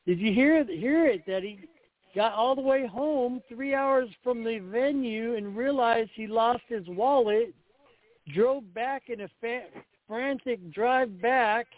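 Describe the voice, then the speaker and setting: A man's voice: very high voice, dry recording. A man co-hosting a casual talk show, chatty and easygoing as he tells stories and reads results. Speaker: co-host. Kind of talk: casual chat. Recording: dry tinny phone line.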